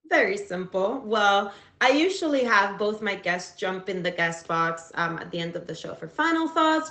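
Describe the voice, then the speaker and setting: A woman's voice: medium pitch, a bit bright. Upbeat woman hosting a livestream. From Cuba. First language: Spanish. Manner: upbeat, chatty, many fillers and restarts. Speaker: livestream talk show host. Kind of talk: casual livestream talk. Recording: echoey room.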